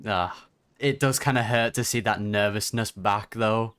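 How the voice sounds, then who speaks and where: A woman with a very deep voice reacts to a game in an informal, slangy way. The recording is close and dry, and she talks in casual asides. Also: unhurried, clear, resonant tone, no room sound.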